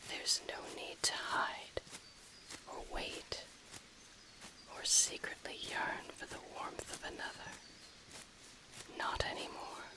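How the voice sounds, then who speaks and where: A woman voicing an ancient dragon familiar, possessive, teasing and tender, speaking softly and formally in an intimate ASMR roleplay. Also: close to an everyday voice, rough recording. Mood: hushed, comforting. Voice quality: soft, breathy, often whispering. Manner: slow, formal, teasing, purring delivery.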